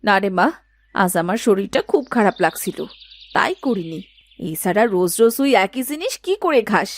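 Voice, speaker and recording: A woman's voice: medium pitch, sing-song, normal speed. A woman voicing an exasperated, nagging village mother and wife in a moral-story cartoon, scolding and complaining. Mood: weary scolding.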